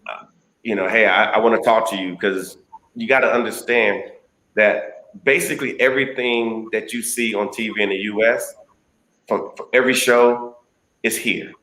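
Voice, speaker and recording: Guest: man from the US, lower voice, easygoing, echoey room on a call. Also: normal speed. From United States. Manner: relaxed, conversational, many fillers. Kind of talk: casual interview answers.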